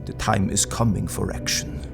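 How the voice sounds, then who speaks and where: Gravelly, earnest old professor. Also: male voice, fairly low pitch, flat delivery, normal speed, over music. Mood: solemn, resolute. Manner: formal, archaic phrasing, grave and emphatic. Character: earnest, commanding old foreign professor, a vampire hunter. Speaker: voice actor. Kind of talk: audio drama acting.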